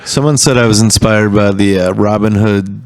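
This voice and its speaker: Man, low voice, loose rambling chat, dry close sound. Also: steady tone, moderate pace, a little mellow, dry recording. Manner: loose, rambling, trails off mid-thought. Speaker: singer-songwriter guest. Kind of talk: casual chat. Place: home studio.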